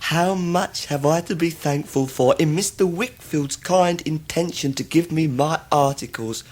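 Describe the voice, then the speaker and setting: An actor playing an obsequious, scheming young law clerk in a radio drama, fawning and full of false humility. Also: male voice, medium pitch, a little mellow. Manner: fawning, self-abasing, oily.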